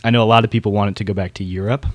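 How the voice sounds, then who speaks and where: A man's voice: on the low side, steady tone, very fast, dry recording. A man hosting a podcast in a casual, chatty style. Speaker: podcast host.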